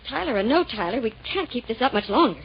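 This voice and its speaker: A woman's voice: a little high, very animated, fast talker, husky, full character voice. A woman playing an anxious accomplice in an old-time radio crime drama. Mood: worried. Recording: muffled vintage radio recording.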